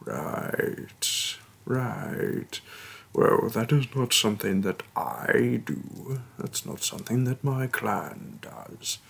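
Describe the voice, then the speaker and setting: A man playing a hulking, patient orc shaman and healer in a fantasy roleplay audio, speaking in a gravelly, breathy voice with a slow, deliberate and reassuring delivery. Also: expressive, fairly bright tone, a little hiss or hum, heavily acted. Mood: calm, explaining. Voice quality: gravelly, husky, breathy low voice. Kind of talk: fantasy roleplay audio acting, addressing the listener.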